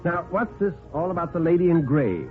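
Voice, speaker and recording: A man's voice: on the high side, sing-song, brisk, voice pushed up. An American man voicing a gossipy, spiteful young society woman in a 1950s radio play, in a gushing, exclaiming way. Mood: urgent gossip. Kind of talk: 1950s radio drama acting. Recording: vintage radio recording, phone-like band, music behind.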